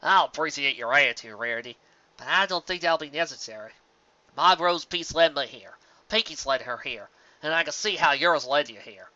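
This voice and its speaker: Narrator doing a no-nonsense folksy farm girl pony, bright forceful voice, cartoon fanfic. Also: mid-range voice, expressive, moderate pace, no room sound, muffled recording. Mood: reassuring. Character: steady, practical female farm pony, folksy. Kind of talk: fan fiction character voice.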